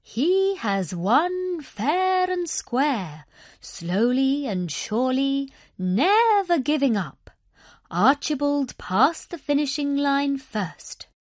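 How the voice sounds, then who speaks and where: A woman voicing a wise old male owl in a children's story, proclaiming in a measured, fair-minded way. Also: high-pitched, very animated, no echo, studio quality, voice pushed up, full character voice. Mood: firm, fair.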